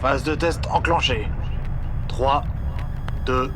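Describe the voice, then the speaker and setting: A man voicing a male launch crew member who makes slow, deliberate calls, in an audio drama. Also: medium pitch, noisy background, close to an everyday voice. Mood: focused.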